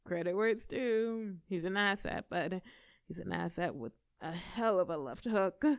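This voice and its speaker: A woman's voice: on the low side, a little slow, no echo, clean studio sound. A woman voicing a tough, brash young girlfriend in a scripted audio roleplay. She talks casually and cockily, swears freely and laughs between lines. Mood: wincing.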